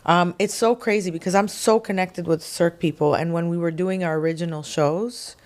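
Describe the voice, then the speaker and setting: A woman's voice: on the low side, brisk. A woman from Quebec, a singer-songwriter guest, telling stories in a casual interview and acting out quotes with laughs. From Quebec, Canada. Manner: animated storytelling, acts out quotes with laughs.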